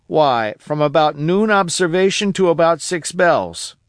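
A man voicing an eager, cunning old marooned sailor who talks in a rough rustic dialect. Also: fairly high pitch, animated, unhurried, dry recording, clean studio sound, voice pushed up. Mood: anxious. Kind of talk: audiobook character voice.

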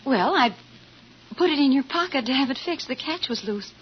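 High-voiced nervous wife, old radio. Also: female voice, lively intonation, moderate pace. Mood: defensive. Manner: anxious, stammering, hesitant denials. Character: nervous, evasive wife hiding something from her husband. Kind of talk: 1940s radio drama acting. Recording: dull, faintly noisy vintage radio.

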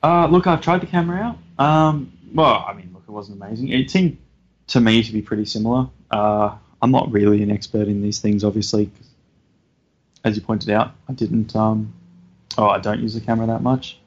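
A man co-hosting a casual podcast, talking hesitantly with many fillers and a self-deprecating manner, feeling low on energy. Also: fairly quick. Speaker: podcast co-host. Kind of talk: casual chat. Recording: dull lo-fi sound.

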